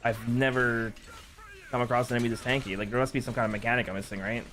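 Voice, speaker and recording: A man's voice: mid-range voice, steady tone, quick pace, a bit bright, faint music behind. A man casually talking while he streams a video game to his chat. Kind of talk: casual livestream gameplay chat.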